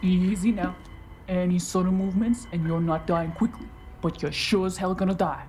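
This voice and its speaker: A woman's voice: lowish voice, steady tone, moderate pace, a little mellow, slight background noise, natural voice. An actress playing a tough, suspicious woman holding a shotgun, interrogating with menace, with music behind her. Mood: menacing. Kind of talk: audio drama acting.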